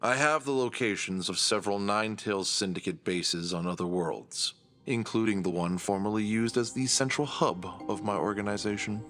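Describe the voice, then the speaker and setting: Man playing a very deep, cold crime boss. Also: normal speed, fairly bright tone, faint music behind, close to an everyday voice. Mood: curt, commanding. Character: cold, commanding male former syndicate boss. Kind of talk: audio drama acting.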